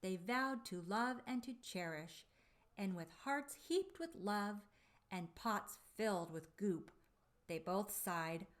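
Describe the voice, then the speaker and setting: A woman reading a storybook aloud for young children, with deliberate, dramatic phrasing and drawn-out emphasis on key words. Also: medium pitch. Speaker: children's storybook reader and presenter.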